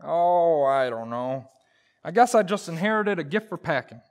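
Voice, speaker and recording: A woman's voice: low voice, expressive, normal speed, darkish tone. A woman playing a boastful, blustery pack-rat husband in a folksy, exclamatory old-time radio comedy style. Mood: sheepish, folksy.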